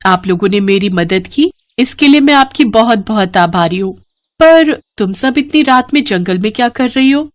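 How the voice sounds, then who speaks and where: Woman voicing a gentle, solemn storybook fairy. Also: fairly high pitch, animated, normal speed, no room sound, natural voice. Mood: gentle, grateful. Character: gentle, grateful female forest fairy granting boons, stern with wrongdoers. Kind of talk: Hindi moral storybook acting.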